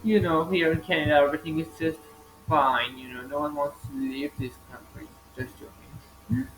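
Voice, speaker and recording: A man's voice: a little high, steady tone, normal speed, fairly bright tone, slight background noise, low-quality recording. Rambling man narrating over maps. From born in Italy. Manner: rambling, heavy on "you know" fillers; loose grammar with frequent slips. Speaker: geography video host.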